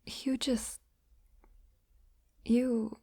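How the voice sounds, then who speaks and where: A woman playing a shy, affectionate young best friend in an intimate ASMR roleplay, speaking softly and hesitantly, often in a breathy near-whisper. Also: fairly high pitch, level tone, no room sound, studio quality, full character voice. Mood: flustered, bashful. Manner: hesitant, gentle, halting. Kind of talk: intimate roleplay ASMR, soft-spoken acting.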